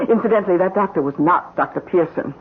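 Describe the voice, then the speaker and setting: Actress as a scheming housekeeper, muffled old radio. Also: female voice, lowish voice, normal speed, a little hiss or hum, lightly acted. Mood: knowing, threatening. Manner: formal, insinuating, coolly assured. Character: scheming, coldly confident housekeeper blackmailing her employer. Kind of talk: radio mystery drama acting.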